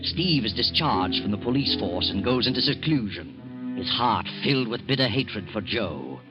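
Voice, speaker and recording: A male narrator tells a radio drama story in a lowish voice. The vintage broadcast is dull, with music playing loudly behind him. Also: very animated, normal speed. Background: loud music.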